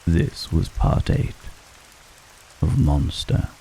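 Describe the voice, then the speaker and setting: A man giving a hushed credits sign-off as the reader of an audio piece. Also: rumbling low, big pitch swings, drawn-out words, slight background noise, rough recording. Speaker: reader, voice performer.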